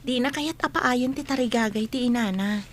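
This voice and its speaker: A woman's voice: on the high side, normal speed, slight background noise, close to an everyday voice. A woman playing a supportive young female schoolmate in a radio drama, earnest and understanding. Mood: defending, sympathetic.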